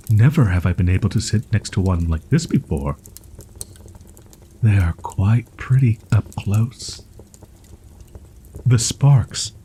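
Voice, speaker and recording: A man voicing a shy, proud young wolf-boy in an audio roleplay, speaking in archaic formal phrases with halting, flustered stammers. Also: lowish voice, mellow, slight background noise, low-quality recording. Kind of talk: audio roleplay, character acting, ASMR.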